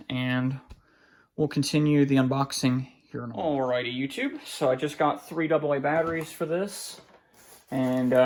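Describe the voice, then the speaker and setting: Gravelly-voiced man casually narrating an unboxing. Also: medium pitch. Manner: halting, informal, thinking aloud as he handles things. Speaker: hobbyist collector presenting an unboxing. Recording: faint background noise, clicks of handling.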